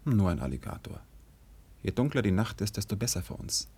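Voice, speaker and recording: An actor playing an ardent, brave young lover and warrior in a German radio play, speaking in a solemn, lyrical, declamatory way. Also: male voice, fairly low pitch, big pitch swings, brisk, a little mellow, breathy edge, heavily acted. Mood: watchful.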